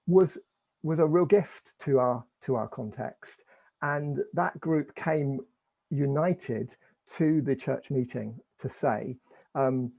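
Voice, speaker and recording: A man's voice: mid-range voice, gravelly, dark tone, husky, rough recording. A man from England, a church leader answering on a panel, speaking hesitantly with many fillers and restarts.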